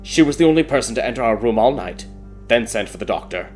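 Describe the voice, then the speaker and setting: A man's voice: medium pitch, lively intonation, fast talker, soft background music, lightly acted. Man doing a solemn, grieving old king. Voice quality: hushed, stammering. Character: solemn, grief-stricken aging king and gentle father. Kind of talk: dramatic fairy-tale audiobook acting.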